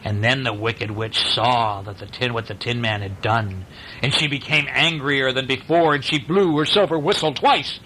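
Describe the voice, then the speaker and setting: A man narrating a bedtime story in an animated, informal way, as if to a listener close by. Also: medium pitch, moderate pace, noisy background.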